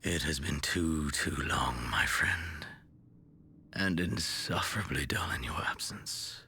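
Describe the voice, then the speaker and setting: Game warlord, extremely deep, slow, hushed breathy menace. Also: male voice, heavily acted. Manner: low, breathy, near-whispered menace. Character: cold, bloodthirsty male warrior lord. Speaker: video game character. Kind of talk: game cutscene acting.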